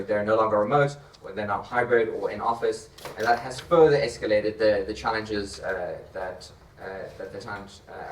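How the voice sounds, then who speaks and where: A man with a South African accent speaking on a conference panel, relaxed and thinking aloud as he repeats his words. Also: fairly low pitch, slight background noise. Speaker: panelist, startup co-founder. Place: conference stage. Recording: echoey hall, mic heard from far off.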